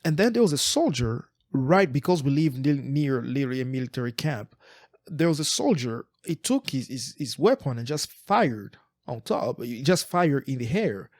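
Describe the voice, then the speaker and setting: An earnest man from Eastern Congo, in his forties, co-hosts a podcast in a reflective, casual way. His voice sits at a middle pitch and is recorded close and dry. He often stumbles over and repeats words as he restarts his sentences. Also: animated, normal speed, darkish tone, no room sound. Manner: earnest, frequent word repetitions and restarts. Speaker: podcast co-host.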